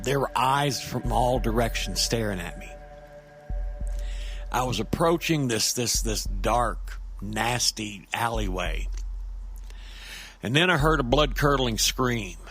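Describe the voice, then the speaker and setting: Man playing a halting, nervy street storyteller. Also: mid-range voice, a bit bright, husky, heavily acted. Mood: uneasy. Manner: halting, stammering, conversational, with tag questions. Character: nervy, rambling street-wise man recounting a frightening encounter. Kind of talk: audio drama first-person horror monologue.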